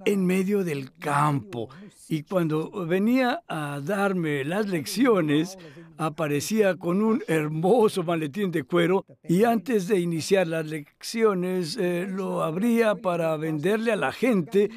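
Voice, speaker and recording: A man's voice: high voice, takes it slowly, darkish tone. A male actor dubbing a cultured older scholar who speaks reflectively and hesitantly in a conversational interview. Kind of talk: voice-over dub of a conversational interview.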